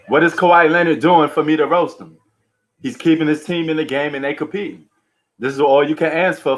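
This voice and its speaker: A man's voice: fairly high pitch, a bit bright. Slangy, bantering man hosting a sports stream. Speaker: livestream sports host. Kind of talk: casual live sports chat and commentary.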